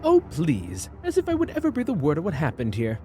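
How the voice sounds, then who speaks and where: Audio drama role: a deranged, sly schemer, pitched high and swooping wildly, all airy mock courtesy over music. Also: male voice, wildly swooping pitch, brisk, mellow, slight background noise. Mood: mock innocent. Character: flamboyant, deranged, sly villain feigning friendship.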